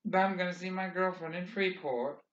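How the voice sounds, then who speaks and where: Panicky young guy, voiced by a man, fast and high-pitched, roomy recording. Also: steady tone, a bit bright. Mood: panicked. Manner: rapid, rising babble. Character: frightened young man, babbling and near tears. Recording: roomy, slightly dull recording.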